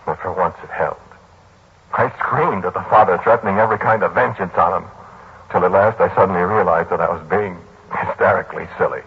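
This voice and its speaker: An actor playing a troubled, kindly lodger in a 1940s radio drama, telling his story in a brooding, deliberate way. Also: sits low, gravelly, breathy edge, full character voice. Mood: shaken, bleak. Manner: brooding, deliberate storytelling that turns pleading in dialogue. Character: troubled, kindly male lodger narrating his haunted memories. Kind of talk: 1940s radio drama acting, first-person narration. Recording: muffled, noisy 1940s radio broadcast.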